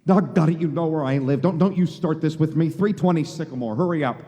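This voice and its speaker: A man's voice: a little high, fast, warm tone, natural voice. Man acting a frantic stammering husband, echoing hall. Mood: agitated. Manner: stammering, repeating words in agitation. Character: frantic, bewildered everyman husband, desperate and pleading. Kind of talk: live radio play acting. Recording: live hall, long reverb.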